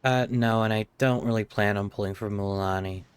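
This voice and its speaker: A man's voice: fairly low pitch, fairly even intonation, fairly quick, a little hiss or hum. A man streaming a game live, chatting casually in a hesitant, muttering way. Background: game music.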